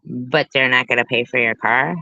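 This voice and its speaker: A woman's voice: a bass voice, steady tone, normal speed, sharp tone, no echo, dull audio. A woman in her fifties hosting a reaction commentary, blunt and sarcastic, often repeating herself and swearing. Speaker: commentary host.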